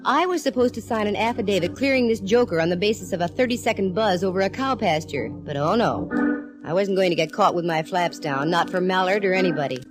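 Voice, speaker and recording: A woman's voice: medium pitch, expressive, fast talker. A woman playing a sassy, wisecracking private eye who narrates a 1940s radio drama. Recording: old radio broadcast with music.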